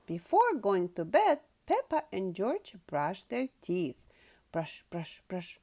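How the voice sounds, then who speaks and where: A woman reading a storybook aloud to children in an expressive, sing-song, carefully paced manner. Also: medium pitch, slow.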